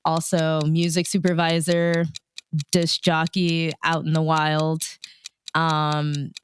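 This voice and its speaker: A woman's voice: deep voice, monotone, slow pace, slight background noise. A woman radio DJ answering questions as a guest in a casual, chatty, informal interview.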